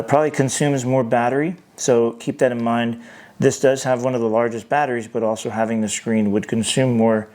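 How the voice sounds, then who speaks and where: A man's voice: fairly low pitch, vocal fry, darkish tone, slight background noise. A man giving an unscripted hands-on product review as host, thinking aloud in a hesitant way.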